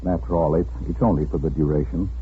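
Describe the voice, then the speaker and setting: An actor playing a mild, evasive, nervous husband in a 1940s radio drama, hesitant and stammering. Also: male voice, very deep voice, fairly even intonation, fast, a little hiss or hum. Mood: nervous, polite. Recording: tinny old radio recording with music.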